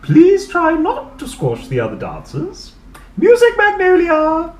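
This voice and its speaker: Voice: extremely high pitch, wildly swooping pitch, far from the mic, background noise, voice pushed up, heavily acted. A reader putting on a grand, fussy ballet mistress. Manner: theatrical, exclaiming, slow and drawn out. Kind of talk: storybook read-aloud. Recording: echoey room.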